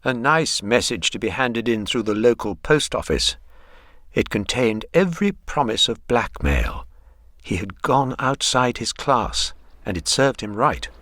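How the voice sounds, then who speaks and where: A man's voice: mid-range voice, animated, a little slow, a bit bright, dry recording, clean studio sound. A man acting as the grave narrator of a literary radio drama. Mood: brooding, measured. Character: grave literary narrator telling a troubled man's story.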